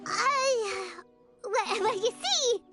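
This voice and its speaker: A tiny, very high-pitched fairy sidekick from an anime game. She is childlike and nervous, with a squeaky voice that swings wildly up and down, over prominent music. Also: animated, very slow, heavily acted. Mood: awkward, hesitant. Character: tiny childlike female floating fairy companion, nervous, chirpy. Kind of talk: anime video game voice acting. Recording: music behind the voice.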